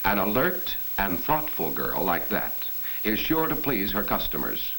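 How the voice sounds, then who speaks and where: A man's voice: sits low, huge pitch swings, unhurried, fairly bright tone, poor audio. A man narrating an instructional film in earnest 1940s style, addressing the viewer directly as he teaches. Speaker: narrator of a corporate training film. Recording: hissy 1940s film soundtrack.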